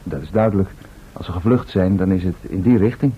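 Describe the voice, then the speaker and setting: An actor in a radio drama playing a calm, practical adventurer who reasons in a measured, matter-of-fact way. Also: male voice, deep voice, lightly acted. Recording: dull, noisy old radio recording.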